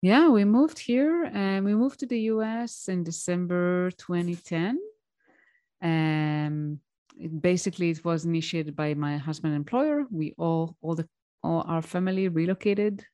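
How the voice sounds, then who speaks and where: Woman, low and dry. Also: unhurried, dark tone, no echo. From Israel. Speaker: guest, tech mentor. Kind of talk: interview answers.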